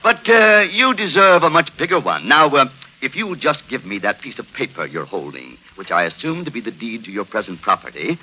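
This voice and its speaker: A man playing a gloating, mock-pompous melodrama villain in a 1940s radio comedy sketch, hamming up his drawn-out lines. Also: medium pitch, big pitch swings, sharp tone, slightly rough, heavily acted, poor audio. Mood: oily, scheming. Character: gloating old-time melodrama villain swindling a young woman. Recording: vintage 1940s radio broadcast recording.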